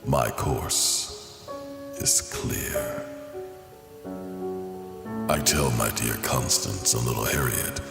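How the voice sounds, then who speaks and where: Guy as a somber haunted doctor reading his journal in a comic drama, super low voice, big echo, music behind. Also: animated, creaky, heavily acted. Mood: somber, brooding. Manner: measured, brooding, pausing between phrases. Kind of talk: dramatic first-person scripted narration. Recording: reverberant echo under music.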